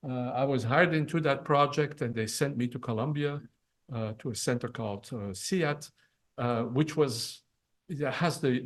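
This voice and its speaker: Older Belgian-born professor giving a talk. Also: male voice, mid-range voice. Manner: halting, many fillers and restarts. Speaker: retiring professor. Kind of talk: ceremony presentation. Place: event hall.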